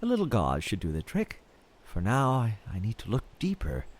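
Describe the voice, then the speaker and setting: Man acting as obsessed male researcher, audio drama monologue, eerie wonder, halting phrases, extremely varied intonation. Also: lowish voice, wildly swooping pitch, slight background noise. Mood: halting, distracted. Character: eerily fascinated male field researcher slipping into madness. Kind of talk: audio fiction monologue, recorded field log.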